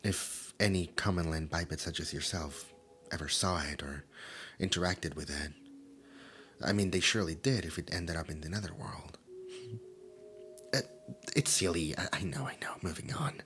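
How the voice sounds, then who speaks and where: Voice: deep voice, normal speed, long pauses, a little hiss or hum. A performer voicing a nerdy, easily flustered immortal demon in an ASMR roleplay, with a stammering, theatrical delivery. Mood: wistful, soft. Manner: stammering, theatrical, swinging from soft musing to panicked outbursts. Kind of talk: roleplay ASMR character acting.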